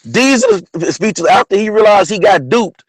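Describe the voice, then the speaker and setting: A man with a partly lost, hoarse voice, talking casually about history as host of an online show, often asking "you know what I'm saying?". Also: sits high, big pitch swings, normal speed, dry recording. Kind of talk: casual history talk monologue.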